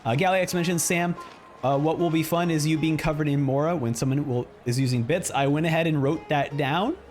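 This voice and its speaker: A man's voice: on the high side, brisk, a little mellow, soft background music. A man streaming a game, giving casual, rambling live reactions and commentary.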